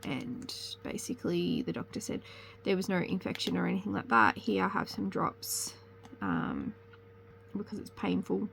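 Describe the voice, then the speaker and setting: A woman's voice: mid-range voice, vocal fry, a little hiss or hum. A young woman with a slight cold chats casually to camera as host of a crafting channel, rambling and correcting herself as she goes. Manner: rambling, chatty, self-correcting. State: sniffly with a cold.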